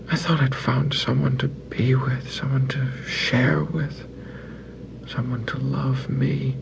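Slow, gravelly lovelorn man. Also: mid-range voice, background noise. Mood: desperate, pleading. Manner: measured, deliberate, earnest. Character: moody, over-romantic lovelorn man voicing his letters. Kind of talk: dramatic audio drama monologue.

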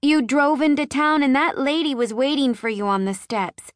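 A woman's voice: high voice, normal speed, no echo, clean studio sound, pitched above the performer's own voice, natural voice. Woman doing a frightened little girl. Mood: pleading. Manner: childlike, pleading, breathless. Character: sassy four-year-old girl, frightened.

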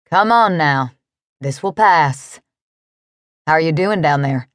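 A woman voicing a kind, easygoing cowboy with a calm drawl in an audiobook story. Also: low voice, slow pace, no echo, clean studio sound, pitched below the performer's own voice. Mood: steady, soothing.

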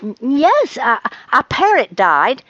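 A woman's voice: high-pitched, huge pitch swings, slow, a bit bright, no echo, highs cut off, pitched above the performer's own voice, exaggerated voice. A woman voicing an anxious, eager male cat, the hesitant and earnest hero of a children's storybook. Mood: sad, awkward.

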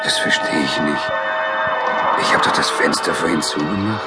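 A man's voice: very deep voice, moderate pace, over loud music. An actor playing a nervous, haunted man in a horror audio drama, with a faltering, agitated delivery. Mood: uneasy.

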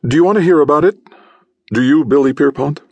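A gray-mustached older agent teases his friends with a confiding, wry manner. A man plays him in a storybook reading, pitching the voice above his own and keeping the grit in it. The sound is close and dry. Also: quick pace, a little mellow, breathy edge, no room sound.

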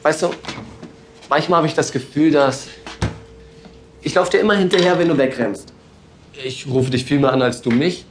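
A young actor plays an earnest teenage boyfriend who talks in a fairly high voice and pleads his case. Steady noise sits under the film sound. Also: normal speed, long pauses, close to an everyday voice. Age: teenage boy.

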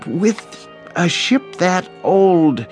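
Male travel clerk, haughty, obsequious, very drawn-out delivery, hugely varied pitch, radio drama. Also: very animated, faint music behind, heavily acted. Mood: pleading, condescending.

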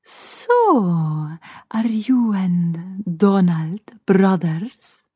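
A woman's voice: medium pitch, sing-song, drawn-out words, dark tone, slightly rough, no echo, full character voice. A woman voicing a mischievous, teasing female spider in a children's storybook, sly and curious, sprinkling in Spanish words.

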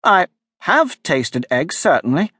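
Storybook little girl, prim and earnest, high voice; played by a male reader. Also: very animated, slow, bright, forceful tone, slightly rough, no echo, studio quality, heavily acted. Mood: indignant, puzzled. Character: polite, truthful little girl, indignant.

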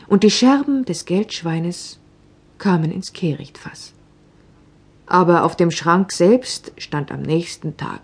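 A woman with a lowish, breathy voice reads a fairy tale into a close studio mic. She tells it with lively expression and slips into hushed, whispered asides. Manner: expressive, drops often to a soft whisper. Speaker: audiobook narrator.

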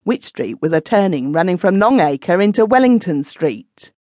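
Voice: medium pitch, big pitch swings, normal speed, a little mellow, dry recording, close to an everyday voice. A reader voicing a pedantic London pub regular who corrects everyone.

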